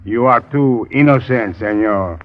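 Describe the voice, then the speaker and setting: An actor playing a stubborn, suspicious police sergeant with a Latin American Spanish accent and curt, broken English in an old radio drama. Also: male voice, medium pitch, unhurried, natural voice. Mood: dismissive, cynical. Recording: dull vintage radio recording.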